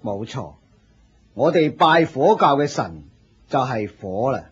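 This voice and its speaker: A man's voice: medium pitch, lively intonation, slow, slightly rough, highs cut off, exaggerated voice. A man with a Hong Kong Cantonese accent playing a stern, pompous and zealous eldest disciple in a radio drama, authoritative and dogmatic. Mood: solemn, pompous.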